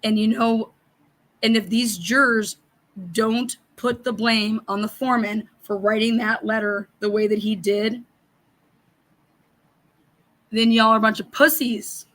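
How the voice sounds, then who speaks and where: Woman, middle-aged, hoarse raspy voice, blunt profane ranting, livestream host chat. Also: a little high, fairly even intonation, unhurried, long pauses, a bit bright, low-quality recording. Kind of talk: casual livestream chat reading viewer comments.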